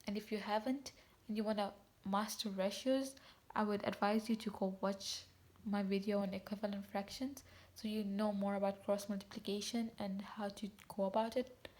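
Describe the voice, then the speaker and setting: Woman, math tutor, casual and chatty, fixes her slips out loud, mid-range voice. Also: mid-range voice. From Tanzania. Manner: chatty, self-correcting, talks to viewers directly. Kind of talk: casual teaching video.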